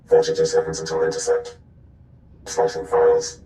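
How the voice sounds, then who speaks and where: An actor playing a secretive, cautious informant in a video game, speaking tersely through a heavy electronic voice scrambler. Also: male voice, very high-pitched, monotone, moderate pace, gravelly, warm tone. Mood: guarded, urgent. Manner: terse, cryptic. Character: cryptic disguised ally, cautious and secretive informant. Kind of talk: scripted video game acting. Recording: echoing, electronically processed voice.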